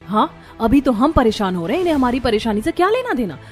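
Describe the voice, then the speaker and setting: Young bahu for cartoon drama played by a woman. Higher-pitched, put-upon, grievance in delivery, soft music bed. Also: fast. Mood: aggrieved. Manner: complaining, expressive. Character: overworked, aggrieved young daughter-in-law. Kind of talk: Hindi cartoon family drama.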